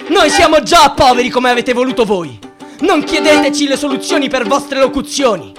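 A man's voice: extremely high pitch, animated, moderate pace. An actor playing a bitter, defiant young man in a radio drama, declaiming a rhythmic, rhyming monologue. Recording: violin music behind the voice. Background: violin music.

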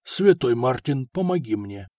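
A man's voice: fairly high pitch, expressive, normal speed, a little mellow, no echo, clean studio sound. A man voicing a burly, proud blacksmith who blusters at his wife in a storybook reading. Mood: awed murmuring. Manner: gruff, blustering.

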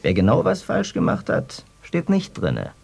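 Swinging, ranting bitter farmer. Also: male voice, mid-range voice, wildly swooping pitch, measured pace, vocal fry, darkish tone, husky, exaggerated voice. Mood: sardonic. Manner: colloquial, ranting, ironic. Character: bitter, sardonic East German farmer, drinking and railing. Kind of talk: German radio play acting.

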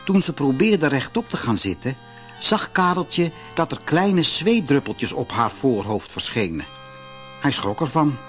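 A man reading a children's fairy tale as scripted narration, in an expressive, measured storybook manner. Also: medium pitch, soft background music.

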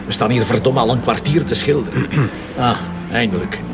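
An actor playing a gruff, sarcastic, impatient Flemish police commissioner in a radio drama, curt and colloquial. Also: male voice, medium pitch, normal speed, over loud music, lightly acted. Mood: irritated, sarcastic. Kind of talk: naturalistic radio drama acting.

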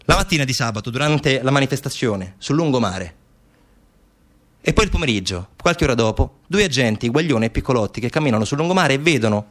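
A man's voice: medium pitch, lively intonation, fairly quick, fairly bright tone. A man performing a narrative stage monologue as an actor, dramatic yet conversational, posing rhetorical questions.

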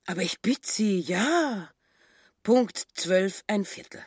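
An actress playing a self-righteous, grasping middle-aged housewife in a radio drama, indignant and full of stock phrases. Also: female voice, medium pitch, lively intonation, slow, a little mellow, husky, dry recording, clean studio sound. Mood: coy, prim.

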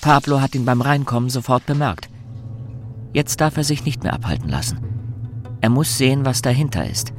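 A male actor is the observant storyteller of a radio play, narrating with composure. His voice is medium in pitch, with music clearly audible behind it. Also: expressive, normal speed, close to an everyday voice. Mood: composed. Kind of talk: scripted radio drama narration.

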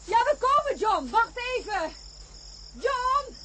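A woman's voice: very high voice, sing-song, slow pace, exaggerated voice. A woman playing an alert, urging astronaut in the jungle in a Dutch radio drama. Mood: alert, urgent. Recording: loud jungle animal and insect noise.

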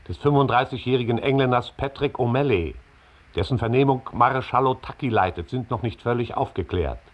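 An actor playing an impersonal announcer who formally reads out a factual news report in a 1960 radio play. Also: male voice, mid-range voice, close to an everyday voice. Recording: dull, band-limited old radio recording.

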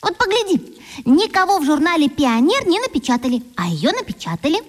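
A woman's voice: high voice, very animated, a little fast. A pleading little schoolgirl. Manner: pleading, gushing, breathy. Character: eager, adoring girl of about eleven.

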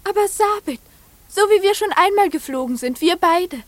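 A woman's voice: very high voice, animated, moderate pace, dry recording. A woman playing a dreamy little girl in a radio play, coaxing and wistful. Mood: pleading.

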